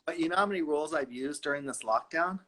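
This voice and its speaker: A man's voice: fairly high pitch, animated, fast talker, fairly bright tone, slight background noise. A middle-aged man from Los Angeles, a designer chatting playfully from his home and laughing often. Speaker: fashion designer guest. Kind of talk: casual video-call chat.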